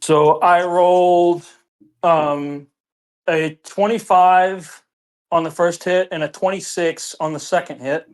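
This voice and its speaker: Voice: mid-range voice, steady tone, slow pace, clear, resonant tone. A tabletop game player chatting casually in a hesitant, matter-of-fact way.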